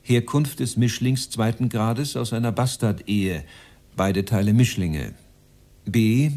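An actor playing a cold, officious Nazi official chairing a conference in a radio drama, with a formal, clipped, enumerating delivery. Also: male voice, lowish voice, takes it slowly, warm tone. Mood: officious, matter-of-fact. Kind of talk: dramatized reading of a protocol.

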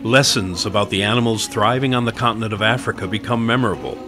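An American man delivers scripted documentary narration with a somewhat low voice. His delivery is measured and deliberate, and music plays clearly behind him. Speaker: promotional video narrator.

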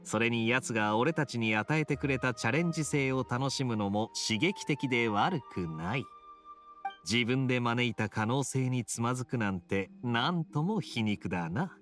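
An actor voicing a suave, teasing young knight in a video game, with a smooth, playful, unhurried delivery. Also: male voice, medium pitch, animated, a bit bright, soft background music, close to an everyday voice. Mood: amused, scheming. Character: suave, teasing young male knight with sly gambler's wit. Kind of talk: video game dialogue acting.

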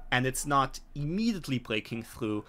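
A middle-aged man with a medium-pitched voice narrates a tabletop game as game master. He explains in a halting way, pausing often, and is recorded over a video call at home. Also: big pitch swings, pushed and bright. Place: home room, indoors.

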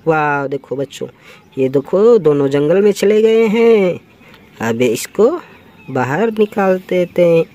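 A man's voice: fairly high pitch, animated, slow pace, dark tone, lo-fi sound. A man improvising children's toy-play narration in an exclamatory, sing-song way full of repeated interjections. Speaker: toy channel presenter.

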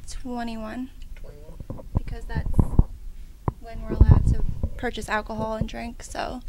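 A woman's voice: on the high side, level tone, measured pace. A woman in her twenties giving casual interview answers into a handheld microphone. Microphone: handheld microphone held out by interviewer. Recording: clear background noise, clicks.

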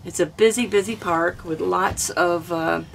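An older woman with a hoarse throat, chatting casually through a cooking vlog in a small camper kitchen. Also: lowish voice, a little slow, a little hiss or hum. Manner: chatty, homey, rambling. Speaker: cooking vlogger.

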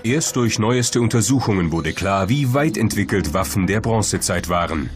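Deep-voiced man narrating over music. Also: expressive, moderate pace. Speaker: documentary narrator. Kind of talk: scripted documentary narration. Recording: loud music behind narration.

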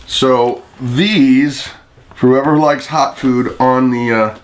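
A middle-aged man chats and teases at a table in his living room, his voice at an ordinary middle pitch. The home camera picks him up from across the table with a clear hiss of background noise behind him. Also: steady tone, slow. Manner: joking, teasing banter. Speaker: channel host. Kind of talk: casual home video chat.

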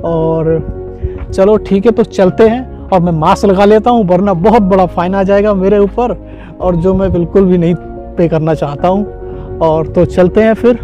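A young man from India narrates his walk through sunny streets in a chatty travel-vlog manner. His voice sits high, and music runs clearly beneath it. He is recorded outdoors on an earbud mic with the phone held at arm's length. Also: measured pace, dark tone, lo-fi sound. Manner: chatty, talks straight to the camera while walking. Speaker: vlogger. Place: sunny city streets and a bus stop, outdoors. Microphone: wireless earbud mic, phone held at arm's length.

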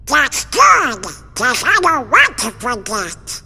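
Squawking, excitable cartoon duck. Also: high-pitched, slow pace, vocal fry, breathy edge, full character voice. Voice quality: squawky, quacking, garbled. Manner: excitable, blustery. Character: short-tempered male cartoon duck mage with a squawking quack. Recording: long hall reverb over background music.

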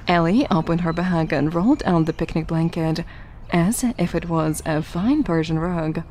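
A woman's voice: fairly low pitch, normal speed, a little mellow, a little hiss or hum. A woman giving scripted third-person narration for an audio drama.

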